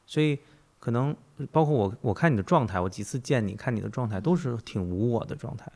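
A man with a gravelly, creaky voice hosts a podcast conversation at a quick clip. He muses aloud, repeating and rewording as he thinks, at a middling pitch. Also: expressive, mellow. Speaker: podcast host, interviewer. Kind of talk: reflective interview conversation.

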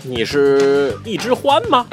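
A woman as a low-voiced, naive, lively rabbit. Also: takes it slowly, exaggerated voice. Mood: innocent curiosity. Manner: wondering, hesitant questions, innocent. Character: naive, curious, puzzled young rabbit with big feet. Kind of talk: children's audio drama. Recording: music behind the voice.

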